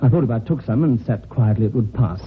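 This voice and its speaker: An actor playing a weary, flustered office worker in an old-time radio drama. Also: male voice, mid-range voice, quick pace, slight background noise, natural voice. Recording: tinny, narrow-band old radio recording.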